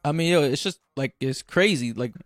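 A man's voice: a little high, expressive, brisk, dry recording, studio quality. A young man hosting a casual podcast, talking loosely with slang and lots of fillers. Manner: laid-back slangy talk, many "like" and "yo". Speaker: podcast host.

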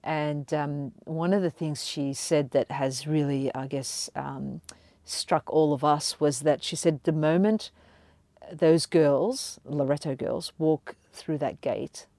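A woman's voice: low voice, fairly even intonation, takes it slowly, mellow, no room sound. A woman hosting a podcast interview, encouraging her guest, talking with lots of hesitations and fillers. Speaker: podcast host. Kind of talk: conversational interview.

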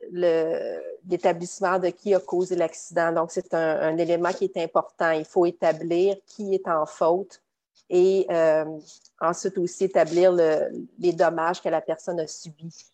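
A woman explains things steadily, as an expert guest would. Her voice sits somewhat low, and she pauses often with fillers. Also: darkish tone. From Quebec. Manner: measured, explanatory, many fillers. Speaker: guest lawyer. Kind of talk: expert explanation in a podcast interview.

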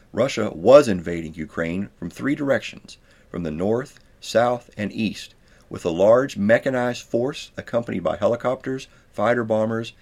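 Low, creaky male narrator. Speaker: author reading own book. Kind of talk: scripted nonfiction narration.

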